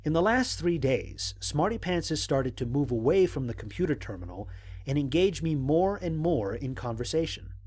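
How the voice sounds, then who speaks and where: A man playing a wry, analytical stranded astronaut, narrating mission logs in an audio drama in a measured, articulate way. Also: medium pitch, a little mellow, breathy edge. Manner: measured, articulate, dryly humorous. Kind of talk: dramatic scripted log narration.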